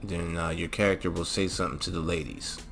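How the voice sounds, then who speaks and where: A man's voice: deep voice, steady tone, quick pace, vocal fry, over music. A man hosting a gaming channel, walking through a mod tutorial in a casual way with lots of fillers and a repeated "all right?".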